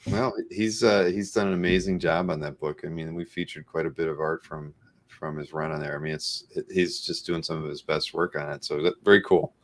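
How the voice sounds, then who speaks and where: A man's voice: extremely low pitch, fairly even intonation, fairly quick. A man hosting a live interview show in a casual, chatty style.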